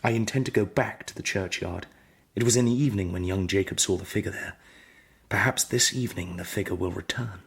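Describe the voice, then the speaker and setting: A man playing an earnest, courteous young Victorian gentleman with an English accent, in a period radio drama. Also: low-pitched, fairly quick, gravelly, breathy edge, heavily acted. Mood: determined. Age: young adult. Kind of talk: period drama acting with measured first-person narration.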